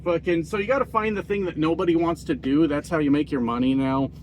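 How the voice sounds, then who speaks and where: A man's voice: a little high, quick pace, slight background noise, poor audio. A man with a nasal voice, a truck driver ranting in a casual, profane and self-mocking vlog monologue. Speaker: trucker vlogger.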